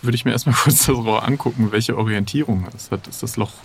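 A man's voice: mid-range voice, fast, creaky, slight background noise. A man taking part as a player in casual improvised role-play, thoughtfully feeling his way through ideas. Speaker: player in an adventure game.

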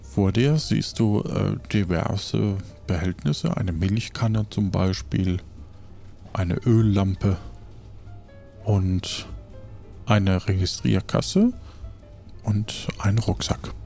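A man narrating an improvised role-play as game master, describing scenes in a halting, casual way. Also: lowish voice, vocal fry, warm tone, soft background music.

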